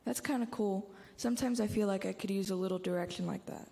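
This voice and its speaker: A woman's voice: mid-range voice, fast talker, creaky, warm tone, close to an everyday voice. A female playing a curious girl in a reverberant hall. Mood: curious, firm. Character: curious, no-nonsense girl trying to follow the story. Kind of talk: youth church reader's theater.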